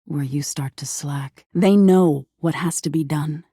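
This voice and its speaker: An ominous living nightmare from a video game, female and intimate. The voice is low and dark, with a husky, breathy grain that sinks toward a whisper. The delivery is slow, deliberate and tender, like dreadful bedtime narration, recorded very dry and close. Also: no echo, exaggerated voice. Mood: ominous, hushed. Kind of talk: scripted dramatic game narration.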